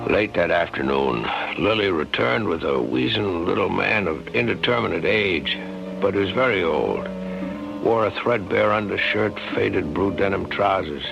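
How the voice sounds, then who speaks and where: An actor playing a concerned, skeptical ship's doctor who also narrates the story in a radio drama. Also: male voice, deep voice, unhurried, gravelly, full character voice. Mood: somber narration. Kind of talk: radio drama acting and first-person narration. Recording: muffled vintage radio recording, music behind.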